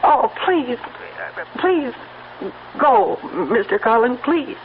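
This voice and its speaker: Radio drama: proud, strained young horse owner, earnest and firm, on a narrow, hissy old recording. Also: female voice, a little high, animated, slow pace. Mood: pleading. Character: grieving, stubborn young woman guarding her troubled horse. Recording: tinny band-limited old radio recording with hiss.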